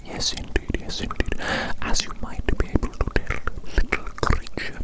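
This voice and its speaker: A woman playing a gleeful evil mad scientist in an ASMR roleplay, whispering breathily and coaxingly, repeating phrases theatrically as they dote on their creature. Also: close to an everyday voice. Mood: doting, gloating. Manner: slow, repetitive, theatrical, coaxing.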